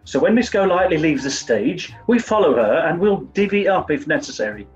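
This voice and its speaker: Man playing a high-voiced, fussy dim toff. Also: big pitch swings, moderate pace, dull audio, faint music behind. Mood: eager. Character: dim-witted, earnest upper-class toff turned amateur sleuth. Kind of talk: 1940s radio comedy acting.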